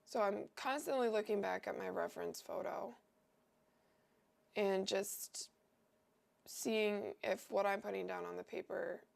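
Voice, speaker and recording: This is a young woman who is sick and sounds nasal, with a heavy gravelly fry running through her voice. She talks through her painting in a loose, meandering way, full of ums and restarts. Her pitch sits right in the middle. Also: a little slow, pauses often, darkish tone. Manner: meandering, thinks aloud with many fillers. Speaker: livestream host painting. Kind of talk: casual painting commentary.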